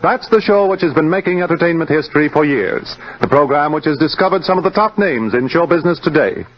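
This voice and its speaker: A man's voice: fairly high pitch, animated, quick pace, a little hiss or hum. A man reading a scripted 1950s radio network promo in a formal announcer's manner. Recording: dull old radio recording.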